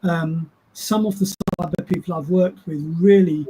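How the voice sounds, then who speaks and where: A man's voice: high-pitched, fairly even intonation, moderate pace, mellow, a little hiss or hum, rough recording. A man speaking as a panelist, hesitant and full of restarts and repeated words. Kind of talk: panel discussion.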